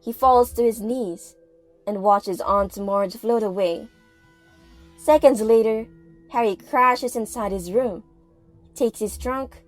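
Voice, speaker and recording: A female narrator with a fairly high voice delivers dramatic audio play narration. She takes her time, pausing for suspense, with music underneath. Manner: theatrical, suspenseful, with pauses for effect.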